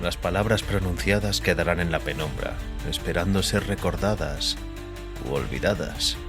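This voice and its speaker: A man's voice: low voice, moderate pace. Male story narrator over music. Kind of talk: scripted audio fiction narration. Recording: music under the voice.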